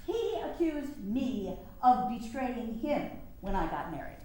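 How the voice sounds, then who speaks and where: A woman's voice: on the high side, very animated, slow, warm tone. A woman acting a resolute, confiding mother and suffrage campaigner in a staged period drama, speaking earnestly in formal phrasing. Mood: wistful confession. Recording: very echoey room with faint noise.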